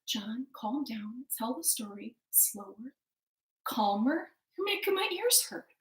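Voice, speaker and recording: Woman as a sensible, chiding storybook wife, animated. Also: a little high. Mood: calm, chiding. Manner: measured, chiding. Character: sensible woodcutter's wife, practical, easily exasperated. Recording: echoey living room.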